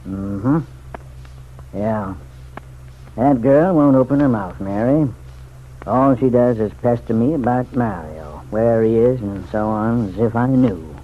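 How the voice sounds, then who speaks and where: An actor playing a folksy, weary and cautious small-town sheriff in a vintage radio serial, homespun and given to thoughtful hums. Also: male voice, medium pitch, animated, takes it slowly, a little hiss or hum. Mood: weary, guarded. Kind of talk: 1940s radio serial acting. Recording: tinny, narrow vintage radio recording.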